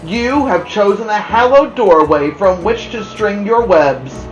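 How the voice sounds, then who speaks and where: A woman's voice: mid-range voice, expressive, measured pace, reverberant, muffled recording, soft background music, voice pushed up, natural voice. A woman voicing an earnest, grateful male pig from a children's storybook in a heartfelt, solemn manner. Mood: solemn, devoted. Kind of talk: children's storybook character reading.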